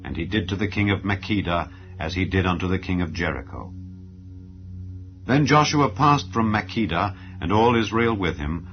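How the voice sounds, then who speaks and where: Male scripture narrator, low register, solemn and declamatory, slightly muffled lo-fi sound. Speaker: audio Bible narrator. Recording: dull lo-fi recording. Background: background music.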